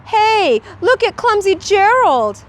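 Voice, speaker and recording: A woman voicing excitable, exclaiming jungle animals from a storybook. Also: very high voice, slow, a little hiss or hum, pitched above the performer's own voice, full character voice. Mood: mocking.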